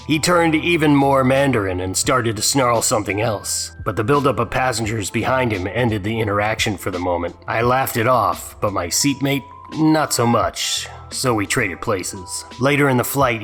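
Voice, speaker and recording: A man's voice: fairly low pitch, fairly bright tone. A man hosting a dramatic reading, adding sarcastic, mocking commentary of his own. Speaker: host.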